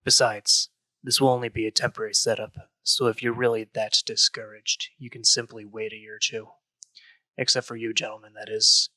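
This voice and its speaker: A man playing a smug, wealthy leader of secret investigators in an audio drama, with a raspy voice. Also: fairly low pitch, level tone, brisk, pushed and bright, dry recording, rough recording. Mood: smug, dismissive.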